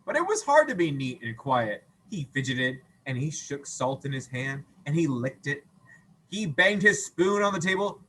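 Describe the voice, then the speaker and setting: A man teaches through an expressive story reading, playful and full of sound effects. His voice is high and bright and moves up and down a lot, over faint background noise. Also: normal speed. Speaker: read-aloud teacher. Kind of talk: animated children's story reading with questions.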